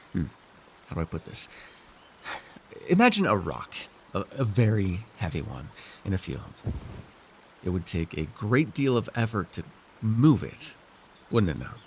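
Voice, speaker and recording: A man voicing a scheming, weary villain lord in an immersive fantasy ASMR roleplay, wry and persuasive, speaking theatrically with halting, musing pauses and sighs. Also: sits low, slight background noise, heavily acted. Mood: patient, condescending. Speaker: voice actor.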